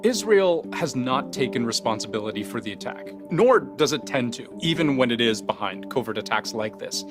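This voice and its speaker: A man delivers scripted news narration at a medium pitch. His phrasing is deliberate and emphatic, punctuated by rhetorical questions. Music plays clearly behind his voice. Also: lively intonation. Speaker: news explainer host. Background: background music bed.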